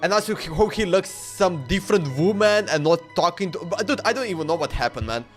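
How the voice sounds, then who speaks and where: Give me a young guy streamer with a high voice, rambling in slang and stammering over words, faint music behind. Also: lively intonation, a little fast. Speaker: livestreamer playing a game. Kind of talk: casual livestream chat.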